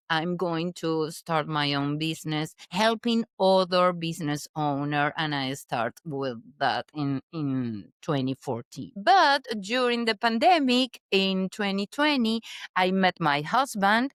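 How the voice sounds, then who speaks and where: Slow-speaking woman. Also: lowish voice, clean tone, no room sound, clean studio sound. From Chile. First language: Spanish. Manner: careful, halting English with pauses and restarts. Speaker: guest, business consultant. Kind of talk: casual interview chat.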